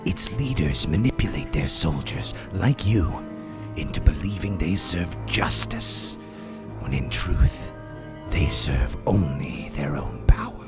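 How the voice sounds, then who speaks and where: A man voices an old, wise monk master from a kung-fu radio play. The voice is very low and slow, hushed and solemn, with grave, probing phrases. Loud music plays behind it. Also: animated, heavily acted. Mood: grave warning.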